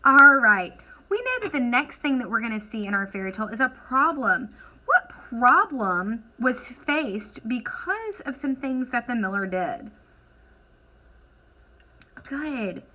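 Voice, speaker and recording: Female primary teacher, slightly high bright voice, slow deliberate dictation, encouraging question-and-answer delivery. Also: very animated. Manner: encouraging, deliberate, dictating words as she writes. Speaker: elementary school teacher. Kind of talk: instructional lesson for children, question and answer.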